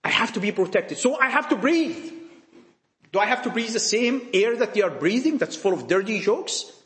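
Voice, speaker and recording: Impassioned preacher with high lively voice. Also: male voice, moderate pace. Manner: emphatic, repetitive, rhetorical questions, dramatic drops in volume. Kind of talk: sermon to young people. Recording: echoey room.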